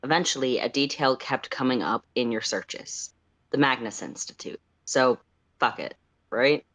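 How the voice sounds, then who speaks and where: A man's voice: mid-range voice, unhurried, a bit bright, dry recording. A man co-hosting a livestream in a casual style, as he chats and reads fan fiction aloud. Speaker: co-host and story narrator.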